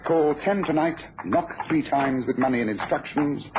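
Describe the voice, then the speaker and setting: An actor playing a shrewd, commanding British detective in a mid-century radio drama, speaking precisely and briskly. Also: male voice, a little high, lightly acted. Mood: confident, explanatory. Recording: muffled, noisy old radio recording.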